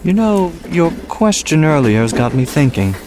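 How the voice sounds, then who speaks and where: A man playing a gruff, fatalistic steam train engineer in an audio drama, speaking in a terse, blunt way. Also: fairly high pitch, big pitch swings, a little slow, background noise. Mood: pensive, wary. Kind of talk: amateur audio drama acting.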